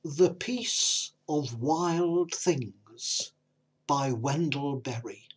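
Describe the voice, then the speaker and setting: A man presenting and reading poetry in a measured manner, pausing between phrases. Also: fairly high pitch, slightly rough. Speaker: compilation presenter and poetry reader.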